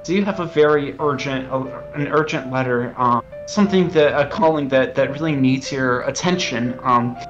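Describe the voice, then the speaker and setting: A man's voice: medium pitch, moderate pace, few pauses, lo-fi sound. A man explaining his game as its developer, earnest and hesitant, often stumbling and repeating words. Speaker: game developer being interviewed. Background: music.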